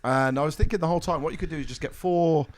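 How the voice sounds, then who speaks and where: A man from England co-hosting a comedy podcast, playful and quick to giggle. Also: on the high side. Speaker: podcast co-host.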